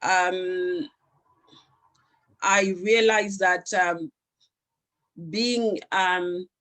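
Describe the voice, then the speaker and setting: A woman from Zimbabwe, raised speaking Shona, talks at a slow, unhurried pace on a discussion panel. Her speech is earnest and reflective, with frequent pauses and restarts as she gathers her thoughts. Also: fairly low pitch, steady tone, fairly bright tone. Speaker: panelist, founder of an arts organization.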